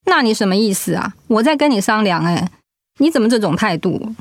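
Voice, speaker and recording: Actress as an earnest woman, medium pitch. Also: animated, a little fast, no room sound, clean studio sound. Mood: firm, challenging. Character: steady older friend, wife who survived cancer. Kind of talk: audio drama acting.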